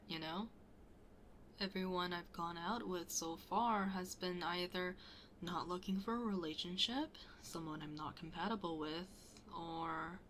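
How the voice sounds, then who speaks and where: A woman's voice: mid-range voice, fairly bright tone, slight background noise. A woman playing a shy, flustered best friend hiding a crush in an intimate ASMR roleplay, hesitant and often dropping to a near-whisper. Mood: playful, mildly annoyed.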